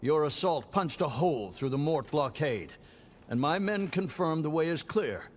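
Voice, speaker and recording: An actor playing a gracious, plain-spoken fort general in a video game, formal and declamatory in delivery. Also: male voice, a little high, lively intonation, moderate pace, slight background noise, natural voice. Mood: brisk, approving. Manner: formal, old-fashioned, declamatory. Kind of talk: dramatic video game cutscene acting. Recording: loud music under the voice.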